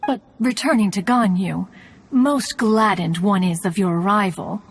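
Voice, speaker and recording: A woman's voice: medium pitch, unhurried, a little hiss or hum, close to an everyday voice. A woman voicing a haughty yet fond ancient mountain immortal in a video game, speaking in archaic, formal language. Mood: solemn, concerned. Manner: archaic, formal, lofty speech. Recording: music playing behind.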